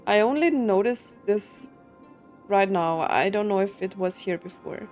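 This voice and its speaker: A woman streams a story game with music playing underneath. She talks casually, thinking out loud and questioning what she sees in an average-pitched voice. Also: measured pace. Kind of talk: casual reaction commentary.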